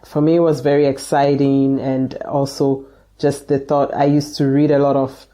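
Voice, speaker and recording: Interview guest: woman from Ghana, mellow tone, reflective, laughs easily. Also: a bass voice, level tone, normal speed. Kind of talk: casual interview chat.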